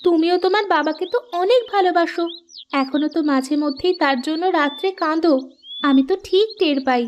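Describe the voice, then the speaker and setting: A woman voicing a nagging but caring village housewife in a cartoon, with an expressive, chiding manner. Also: very high voice, a little fast, highs cut off, a little hiss or hum. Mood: consoling. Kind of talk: cartoon voice acting.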